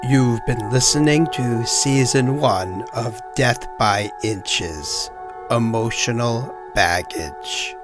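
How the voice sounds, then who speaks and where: A man reading scripted end credits as a narrator, with a measured, deliberate announcer delivery. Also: mid-range voice, over music.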